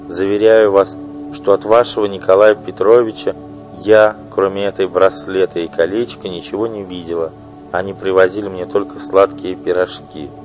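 A man's voice: low-pitched, level tone, moderate pace, darkish tone, soft background music, voice pushed up, lightly acted, poor audio. A man doing a flustered young singer woman. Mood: defensive. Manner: timid, evasive, whining. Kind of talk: audiobook reading.

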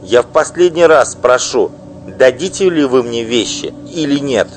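A man voicing an indignant wronged wife who pleads and accuses in a high, loud voice for an audiobook. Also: expressive, unhurried, a little hiss or hum, rough recording. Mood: pleading. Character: indignant wronged wife, middle-aged woman. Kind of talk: audiobook reading.

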